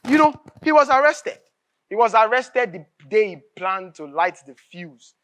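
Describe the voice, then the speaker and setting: An impassioned Nigerian revolutionary idealist, preachy and impatient. The delivery is declamatory and emphatic, with pitch that swings widely and key phrases hammered home. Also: expressive, a little slow, fairly bright tone. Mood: indignant, fervent. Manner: emphatic, oratorical, repeats phrases for force. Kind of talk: live stage drama acting, declamatory dialogue.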